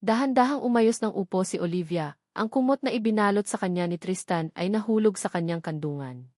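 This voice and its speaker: A woman tells a romance story in a steady, dramatic scripted reading. Her voice sits at a middle pitch and is recorded dry and close, with no room sound. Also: fairly quick, no echo.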